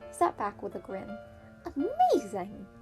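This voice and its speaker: A woman narrates a piece of fan fiction in an amateur audiobook style. Her voice sits at an average pitch, with soft piano music playing behind it. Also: moderate pace.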